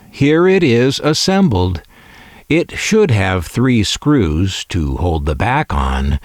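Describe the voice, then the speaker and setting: A man's voice: fairly low pitch, no echo. An older man narrating a scripted hobby video as a collector, musing aloud with dry, wry humor. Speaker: collector presenting vintage radio finds.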